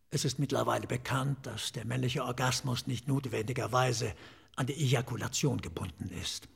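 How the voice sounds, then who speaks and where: An actor playing a talkative forensic pathologist in a radio drama, dryly clinical and slightly eccentric, explaining things in measured, savoring phrases. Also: male voice, medium pitch, full character voice. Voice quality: raspy, creaky. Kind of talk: German radio drama acting.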